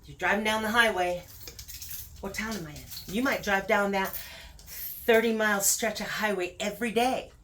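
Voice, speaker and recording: A woman's voice: medium pitch, moderate pace, fairly bright tone, a little hiss or hum. A woman speaking as a video host, giving casual, emphatic advice straight to the viewer and repeating words for stress.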